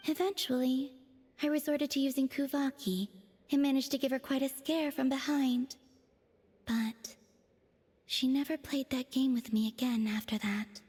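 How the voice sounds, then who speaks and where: A woman playing a detached, quietly innocent young woman in a video game, wistful and understated, with pensive pauses. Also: high voice, steady tone, husky. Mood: matter-of-fact.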